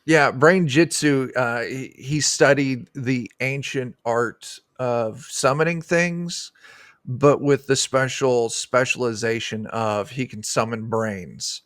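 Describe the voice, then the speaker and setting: A middle-aged man talks in an informal, rambling and enthusiastic way. His voice has an ordinary male pitch and is recorded dry, as on an indoor video call. He sounds like a designer explaining his game. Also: slow, a bit bright, no echo. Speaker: game designer, podcast guest. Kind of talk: casual explanatory chat about a tabletop game.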